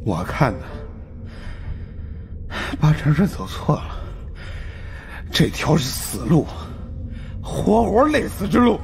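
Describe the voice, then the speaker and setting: High-pitched, chattering fat slacker. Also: male voice, huge pitch swings, takes it slowly, gravelly, long pauses, background noise, exaggerated voice. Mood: wheedling, whining. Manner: stammering, babbling, repeats himself. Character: fat, panicky, chattering, wheedling male slacker companion. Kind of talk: audiobook character acting.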